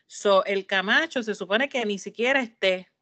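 A woman, co-hosting a podcast in casual chat and talking in an expressive, emphatic way. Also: medium pitch, moderate pace, no echo, dull audio. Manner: expressive, emphatic, repeats for effect. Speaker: podcast co-host.

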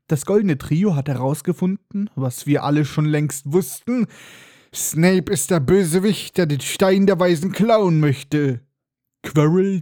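Snarky podcaster with a stuffy nose. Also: male voice, on the high side, mellow, dry recording, studio quality. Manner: sarcastic, irreverent, mocking asides. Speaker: podcast host. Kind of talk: comedic book-recap podcast.

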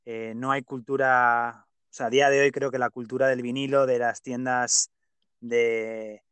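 A man's voice: mid-range voice, level tone, measured pace, fairly bright tone, clean tone, no echo. A man from Pamplona hosting a podcast, chatting casually with plenty of hesitant fillers. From Pamplona, Spain. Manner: hesitant, many fillers, opinionated. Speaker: podcast host. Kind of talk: casual interview chat.

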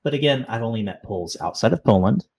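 A man's voice: mid-range voice, expressive, fast, darkish tone, slightly rough. A thirty-year-old man hosting a podcast, interviewing in a friendly, joking, upbeat way. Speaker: podcast host.

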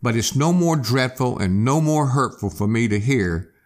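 A man's voice: medium pitch, expressive, normal speed, lightly acted. A man voicing a weak, wounded young man in a hospital bed, speaking wearily and earnestly.

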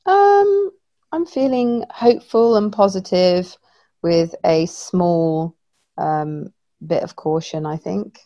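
A woman's voice: lowish voice, animated, slow, mellow, lo-fi sound. A woman co-hosting a family podcast, congested and a little wheezy, hesitant with many ums. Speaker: podcast co-host. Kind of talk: casual family chat.

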